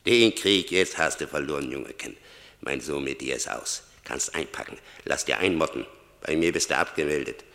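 Death as a stern, mocking judge in an old radio drama. The voice is extremely deep and forceful, declaiming and repeating words for weight. It rings in a long, cavernous reverb. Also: male voice, expressive, vocal fry, exaggerated voice. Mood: harsh, contemptuous. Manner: declamatory, emphatic, slow rhetorical repetition.

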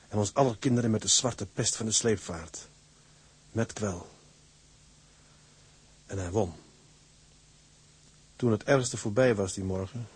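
Grave, husky seaman narrator. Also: lowish voice, darkish tone. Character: seaman narrator gravely recalling a terrible storm voyage. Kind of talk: dramatic first-person radio drama narration.

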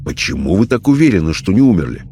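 A male actor plays a calm seance questioner in an audio story. His voice is low, grave and insistent, measured in delivery over slight background noise.

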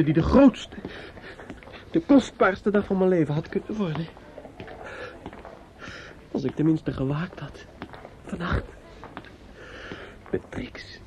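An actor playing a grieving, bitter and desperate young man in a radio drama, halting and often dropping into whispers. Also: high voice, mellow, heavily acted. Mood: bitter, broken. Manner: halting, repetitive, drops into whispers. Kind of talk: radio drama monologue acting. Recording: dull, noisy old radio recording.

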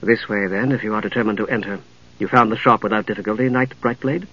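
A man's voice: fairly low pitch, fairly even intonation, quick pace, lo-fi sound, pitched below the performer's own voice, lightly acted. A man voicing a regal, knowing middle-aged female knight with cultured, composed diction in a fantasy audiobook. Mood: brisk, assured.

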